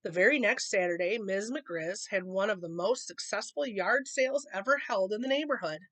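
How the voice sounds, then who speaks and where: A woman reading a children's picture book aloud in an expressive storytelling manner. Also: medium pitch, normal speed, no echo. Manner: expressive reading, acting out dialogue.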